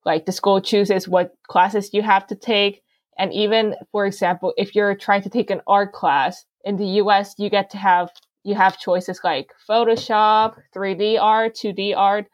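A woman's voice: mid-range voice, little pitch movement, unhurried. Young woman from Korea, casual. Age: young adult. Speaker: guest, college student. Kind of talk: recorded guest statement.

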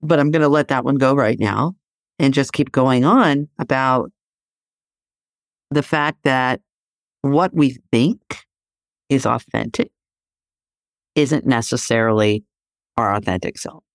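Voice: mid-range voice, slow, pauses often, dry recording, clean studio sound. Provocative host asking rhetorical questions. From Greece. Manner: conversational with frequent "you know, right?". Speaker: podcast host. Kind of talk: solo reflective podcast talk.

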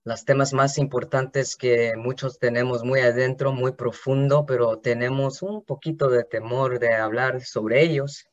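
Slow, hesitant man on a podcast. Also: mid-range voice, fairly even intonation, lo-fi sound. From Los Angeles, California. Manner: slow, hesitant, with many restarts. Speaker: podcast host. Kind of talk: personal monologue.

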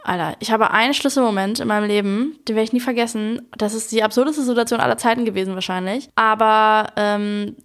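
A woman in her twenties co-hosting a casual chat, telling stories in a relaxed, playful way. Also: fairly high pitch, brisk, few pauses. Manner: relaxed storytelling, playful, laughs along. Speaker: podcast co-host.

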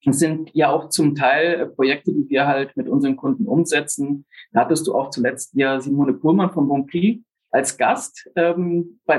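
A man in his forties with a slightly high, husky voice talks as a guest in a podcast interview. He is relaxed and chatty, fills his sentences with small fillers, and drops in dry self-mocking humor. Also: rough recording. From grew up in Brazil. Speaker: startup founder, podcast guest. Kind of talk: casual interview talk.

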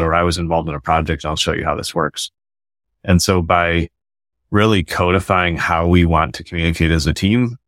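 Man, low voice, podcast guest, earnest explaining, restarts sentences, dry close sound. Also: fairly bright tone, dry recording. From United States. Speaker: guest author and company founder. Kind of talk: casual podcast conversation.

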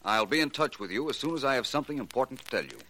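An American actor playing a shrewd, self-assured gentleman detective in 1940s radio style, polished, courteous and unruffled. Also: mid-range voice, expressive, racing. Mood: brisk, cordial. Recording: noisy vintage radio recording with clicks.

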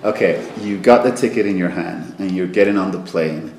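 Deep-voiced male podcast host. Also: a little fast, a little mellow, slight background noise, poor audio. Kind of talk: podcast introduction and interview.

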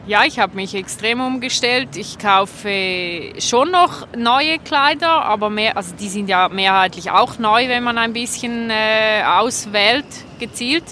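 A woman's voice: a little high, a little slow, few pauses, sharp tone. A woman with a Swiss accent giving casual, spontaneous answers in a street interview. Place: outdoors on a city street. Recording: outdoor street noise with traffic.

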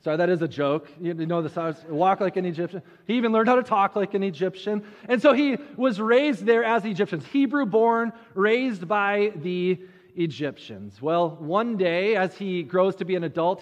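A man preaching a sermon, telling a Bible story conversationally with dramatic pauses and rhetorical questions. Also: sits high. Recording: muffled audio with long hall reverb.

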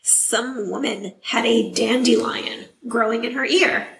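A woman co-hosting a podcast, losing her voice, telling stories in a chatty, animated way. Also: fairly high pitch, unhurried, creaky, a little hiss or hum. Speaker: podcast co-host. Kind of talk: casual chat. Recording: echoey room.

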